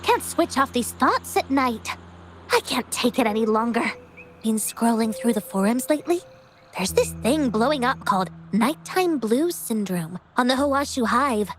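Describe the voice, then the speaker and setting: High-voiced, weary young researcher played by a woman. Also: expressive, normal speed, slight background noise, lightly acted. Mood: weary, exasperated. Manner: grumbling, sardonic. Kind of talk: scripted video game voice acting.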